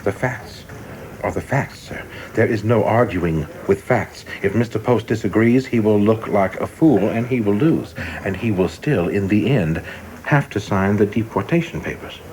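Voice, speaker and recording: A man's voice: fairly low pitch, moderate pace, a little mellow, a little hiss or hum, close to an everyday voice, poor audio. Guy acting young ambitious govt investigator, radio drama, formal n exact, cold, polite to the boss but very sure of himself. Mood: insistent, assured. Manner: deferential but self-assured, formal and exact. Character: young, ambitious, coldly precise male government investigator.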